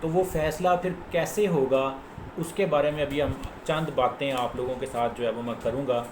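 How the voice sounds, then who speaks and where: A man's voice: medium pitch, fairly even intonation, moderate pace. A man with a Pakistani accent giving an informal law lecture as a lawyer, explaining step by step, repeating phrases and mixing in English legal terms. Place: indoors, office. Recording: echoey room with clear background noise.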